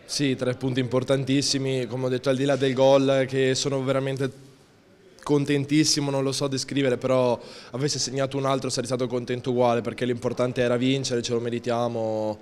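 A man's voice: medium pitch, monotone, darkish tone, clean tone. Young man in a reverberant corridor. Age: young adult. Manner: fast, restless, repeats words. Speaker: football defender. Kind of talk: post-match interview. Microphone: handheld interviewer mic. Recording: long hallway reverb.

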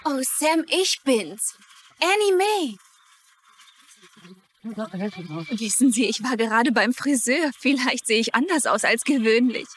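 High-voiced, pleading jilted fiancée. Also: female voice, expressive, a little slow, a bit bright, a little hiss or hum. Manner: pleading, earnest. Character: hurt, earnest jilted fiancée pleading with her man. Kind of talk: dubbed TV drama dialogue in German.

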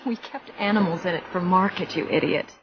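An actress playing a young, determined princess who talks in a curt, earnest and sometimes scolding way. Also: female voice, lowish voice, a little fast, lo-fi sound, heavy background noise, close to an everyday voice.

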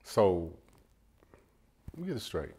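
Man acting husky, incredulous American suitor. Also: deep voice, very animated, slow, darkish tone, full character voice. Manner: questioning, incredulous. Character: earnest, put-out suitor arriving for a date. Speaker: actor in a comedy sketch. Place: furnished indoor room, filmed sketch.

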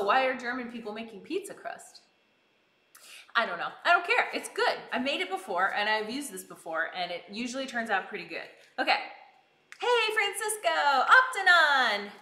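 A woman hosts a casual livestream in a bubbly, giggly manner. Her voice is fairly high and very bright, and she calls out greetings to viewers. The room around her is noticeably echoey. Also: big pitch swings, moderate pace. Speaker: live streamer hosting a cooking stream. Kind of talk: casual chat with live viewers. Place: indoors in a kitchen. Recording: roomy, echoing indoor sound.

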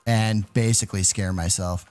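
A man hosting a gaming video, giving excited, exclamatory gameplay commentary with playful mock alarm as he addresses his viewers. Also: on the low side, moderate pace, faint music behind.

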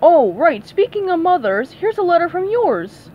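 A woman reading aloud and voicing an anxious, hurried male show producer. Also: very high-pitched, very animated, narrow, tinny audio, slight background noise, voice pushed up.

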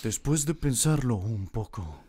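A man voicing a cold, fastidious hitman cat in a cartoon fan dub, formal, precise and deadpan, with a Latin American accent. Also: medium pitch, a crowd in the background, natural voice. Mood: cold, controlled. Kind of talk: animated fan dub acting.

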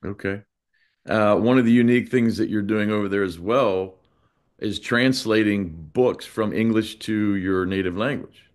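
A man's voice: lowish voice, fairly even intonation, moderate pace. A man in his fifties with an American accent, hosting a casual interview over a video call. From United States. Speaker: interview host. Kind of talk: casual chat. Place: small indoor room, on a video call.

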